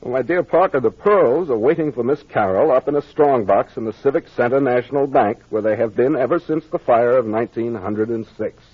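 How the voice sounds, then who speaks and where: Actor as husky, confident radio detective, muffled vintage sound. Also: male voice, mid-range voice, brisk, few pauses, no echo, close to an everyday voice. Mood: explaining. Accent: American. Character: confident male private detective, wry, commanding explainer. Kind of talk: 1940s radio drama acting. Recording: dull, muffled old-time radio recording.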